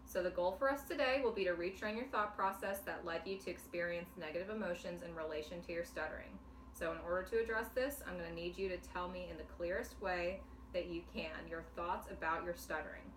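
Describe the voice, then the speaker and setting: A woman speaking as a clinician in a demonstration counseling session, calm and patient, explaining step by step. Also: on the low side, fairly quick. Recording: echoey room, mic at a distance, faint noise.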